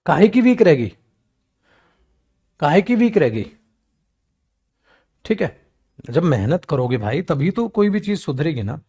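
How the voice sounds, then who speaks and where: A man's voice: unhurried, long pauses, husky. A man lecturing on English grammar, switching between scolding and coaxing his students. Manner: animated, scolds and coaxes students affectionately, calls them beta; mimics whining students in a low weak voice. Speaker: English teacher for government exams. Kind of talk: classroom lecture, mixing English examples with Hindi explanation.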